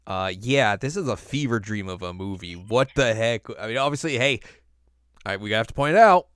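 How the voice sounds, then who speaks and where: A man's voice: on the low side, animated, fairly bright tone, clean tone, no echo, clean studio sound. A man co-hosting a podcast, chatting casually about movies in a jokey, bantering way. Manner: jokey, bantering, quick to laugh. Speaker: podcast co-host.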